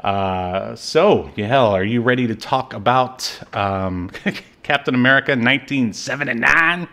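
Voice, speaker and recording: A man hosting a podcast in casual, joking banter, with a halting delivery. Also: medium pitch, sharp tone. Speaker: podcast host.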